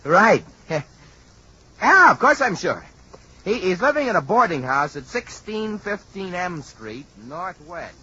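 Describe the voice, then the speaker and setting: An actor playing a brash, self-seeking, excitable American man with a pushy, punchy manner in a 1950s radio drama. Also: high-pitched, takes it slowly, breathy edge, exaggerated voice. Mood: excited. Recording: dull, noisy old radio recording.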